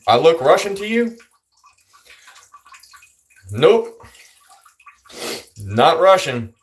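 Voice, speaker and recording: A man's voice: fairly high pitch, very animated, extremely slow. A man livestreaming and answering chat in a loose, rambling way, full of fillers. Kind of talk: casual chat.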